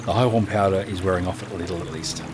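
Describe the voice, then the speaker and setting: A man's voice: low-pitched, fairly even intonation, fast talker, noisy background, close to an everyday voice. A man acting a protective, seasoned gang leader with an earnest, reassuring manner in a fantasy audio drama. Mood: concerned, apologetic.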